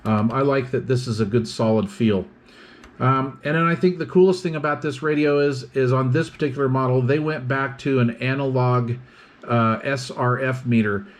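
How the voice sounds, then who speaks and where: A man's voice: medium pitch, moderate pace. A man giving a casual hands-on product review, explaining things step by step.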